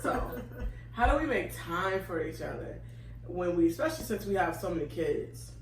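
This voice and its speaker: A woman co-hosting a casual relationship chat, playful and quick to laugh and tease. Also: fairly low pitch, expressive, echoey room, slight background noise, poor audio. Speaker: co-host.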